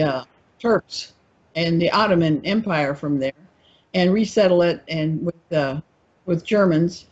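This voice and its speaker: Husky-voiced woman, muffled audio. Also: medium pitch, a little mellow. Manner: meandering, searching for words. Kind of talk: casual discussion.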